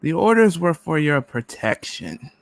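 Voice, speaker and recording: A man's voice: a little high, very animated, unhurried, dry recording. A man playing a stern, controlled government agent in an amateur radio-play reading. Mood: stern, grave.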